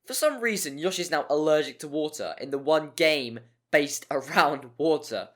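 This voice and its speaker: A man's voice: a little high, lively intonation, normal speed, fairly bright tone. A man narrating a scripted video game review in a wry, jokey manner, given to exaggeration. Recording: voice-over with music behind.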